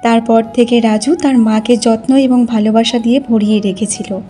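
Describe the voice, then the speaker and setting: Lady narrating a cartoon story, highish mellow voice, scripted read, light music under. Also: fairly even intonation, moderate pace.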